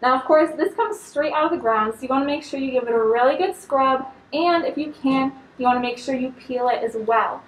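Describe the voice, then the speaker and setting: A woman's voice: high voice, fairly quick, hardly any pauses, slight background noise. A young woman giving an upbeat, instructive cooking demonstration in a large kitchen, talking as she works with food. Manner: upbeat, instructive, talks while handling food. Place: large studio kitchen indoors. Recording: echoey kitchen, mic a bit distant.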